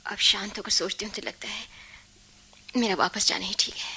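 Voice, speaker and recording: A troubled young bride-to-be in a radio play. Her mid-pitched voice is hushed and hesitant, with faint noise behind it. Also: fairly even intonation, normal speed. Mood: troubled, apologetic. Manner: hushed, breathy, hesitant delivery. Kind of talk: Hindi radio play acting.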